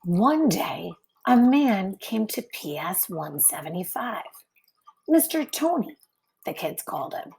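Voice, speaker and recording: A woman reading a picture book aloud to children as a teacher, phrasing deliberately with many pauses and adding brief asides to young listeners. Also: mid-range voice, big pitch swings, gravelly, a little mellow, slightly rough, poor audio.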